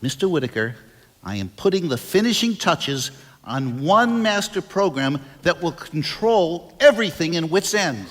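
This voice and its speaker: A fussy, self-assured genius speaks in precise, wordy, formal phrases for an audio drama. His voice sits a little high and swings with animation, in a reverberant space. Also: sing-song, normal speed, a bit bright. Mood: proud, excited.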